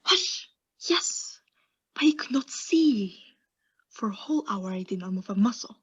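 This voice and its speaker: A woman playing an obsessed mad murderer in a theatrical radio play, confessing intensely in hushed whispers and frantic outbursts. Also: mid-range voice, animated, a little slow, husky, dull audio. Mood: furtive, tense.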